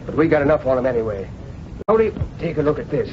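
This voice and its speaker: An actor playing a gruff, world-weary city police sergeant in an old radio drama, speaking brusquely and colloquially on a noisy, muffled recording. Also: male voice, mid-range voice, big pitch swings, fast talker, lightly acted. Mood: businesslike. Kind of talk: 1930s-40s radio drama acting.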